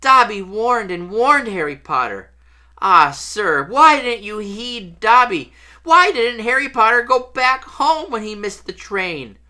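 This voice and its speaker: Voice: medium pitch, sing-song, takes it slowly, bright, forceful tone, pitched above the performer's own voice, full character voice. A reader voicing a small, anxious male house elf, miserable and devoted, pleading and speaking of himself in the third person.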